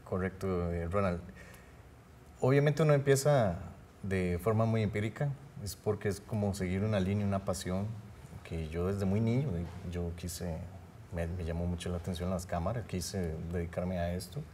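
Low, dark-voiced man in a TV studio. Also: normal speed, slight background noise. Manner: earnest, rambling, with many restarts. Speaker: film director guest. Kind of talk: studio interview.

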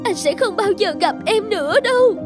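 A desperate young cartoon woman in love, extremely high and girlish. She pleads emotionally and with a strong will, over clear background music. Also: lively intonation, normal speed. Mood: pleading, hurt. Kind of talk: dubbed cartoon dialogue.

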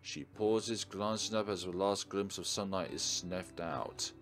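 A man's voice: deep voice, unhurried, fairly bright tone. A man hosting a let's play, reading visual novel narration aloud and adding casual commentary. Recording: game music behind the voice. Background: visual novel soundtrack.

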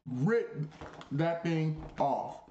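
A young man vlogging in a casual, animated, slangy storytelling way, repeating phrases for emphasis in a small bedroom. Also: a little high, extremely slow, reverberant, lo-fi sound, slight background noise. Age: young adult.

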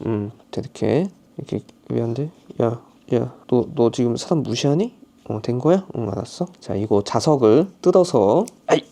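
A man with a Chungcheong dialect talks his way through an unboxing, chatty and rambling. His voice is mellow and relaxed, with a mid-range pitch. He keeps up a running commentary to himself in a casual vlog. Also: moderate pace, gravelly. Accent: Chungcheong Korean dialect. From Chungcheong, Korea. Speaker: vlogger.

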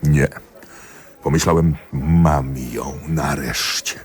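An actor in a radio drama playing a jealous husband and magistrate, confiding ruefully and emphatically to a friend. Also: male voice, rumbling low, takes it slowly. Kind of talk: theatrical radio drama monologue.

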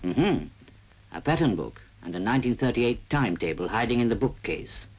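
A British man narrates a 1940s public information film. His husky voice moves up and down in a lively way, chatty and wry as he urges the listener on. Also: mid-range voice, rough recording.

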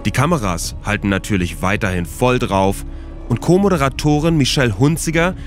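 A man's voice: mid-range voice, expressive, brisk, faint music behind. A man reading a scripted documentary narration for a podcast.

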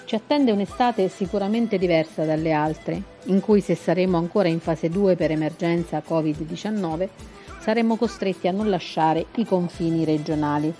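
A woman's voice: fairly low pitch, normal speed, dark tone. A woman from Italy hosting a TV segment, reading a scripted travel feature. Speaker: TV segment host and narrator. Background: music bed.

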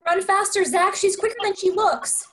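A woman's voice: very high-pitched, moderate pace, fairly bright tone. A woman reading a sassy, scheming younger sister in a table read.

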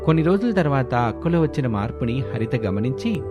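A man's voice: mid-range voice, very animated, a little fast. A man narrating a scripted moral story as a storyteller. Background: background music.

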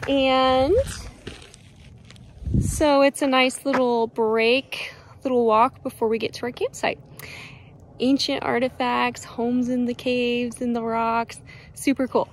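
A woman's voice: fairly high pitch, little pitch movement, slow pace, slight background noise. A young woman in her twenties, vlogging with a phone at arm's length outdoors, chatty and enthusiastic. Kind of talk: casual vlog narration. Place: outdoors in desert and in a shop.

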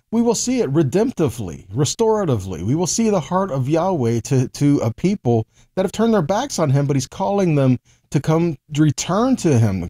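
A man's voice: medium pitch, dark tone. An American man in his forties giving a conversational Bible teaching, explaining step by step and checking in with short tags. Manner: explanatory, with frequent check-in tags like okay and right.

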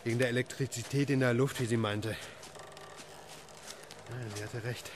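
A man's voice: mid-range voice, steady tone, normal speed, natural voice. Guy playing tired middle-aged dad in a radio drama, uneasy, mid voice, noisy atmosphere behind. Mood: reflective. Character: weary, sceptical family man, reluctant and uneasy. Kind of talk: German radio drama acting.

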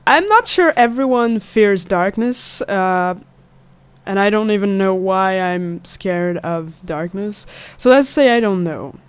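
A woman's voice: mid-range voice, lively intonation, slow, clear, resonant tone, no echo. A young woman giving casual let's play commentary, musing aloud as she plays, close to a studio mic. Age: young adult. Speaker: gaming video host. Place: indoors at home, seated close to mic. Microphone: studio condenser on stand. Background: game music.

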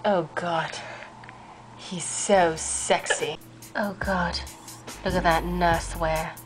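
A woman's voice: fairly low pitch, steady tone, very slow, faint music behind, pitched below the performer's own voice, low-quality recording. A woman voicing a smitten, eccentric young man who mutters and rambles in an amateur puppet show.

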